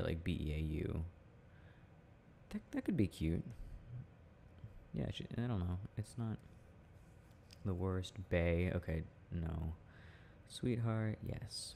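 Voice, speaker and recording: A young man speaking in a playful, affectionate, halting murmur, like an intimate, casual ASMR boyfriend roleplay, with a deep gravelly voice. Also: warm tone. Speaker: boyfriend roleplay audio performer. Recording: faint background noise.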